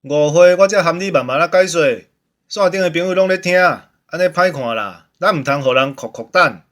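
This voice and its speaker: A man's voice: a little high, moderate pace, a bit bright. A man with a Taiwanese accent hosting a show in bantering talk. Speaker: show host.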